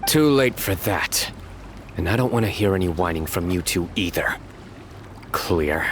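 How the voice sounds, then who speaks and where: A man playing a stern, calm young general in a video game, speaking in a deadpan, commanding way. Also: sits low, a little slow, slight background noise.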